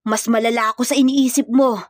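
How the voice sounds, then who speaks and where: A woman's voice: a little high, fairly even intonation, brisk, no echo, clean studio sound, lightly acted. A woman voicing a cold, secretive male hired killer with a Filipino accent in a dramatic story. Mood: scornful. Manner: mixes English and Tagalog.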